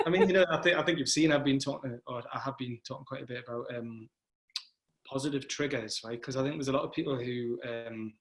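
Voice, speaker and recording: A man's voice: mid-range voice, quick pace. A man hosting a casual conversation, speaking thoughtfully, restarting phrases and checking in with "right?". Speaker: host, event organizer.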